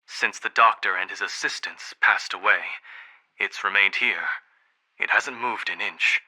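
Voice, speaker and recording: Game aide: very bright, lowish voice, deferential, crisp formal reporting. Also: male voice, unhurried, exaggerated voice, poor audio. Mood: dutiful. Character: dutiful, deferential male aide to a ruler.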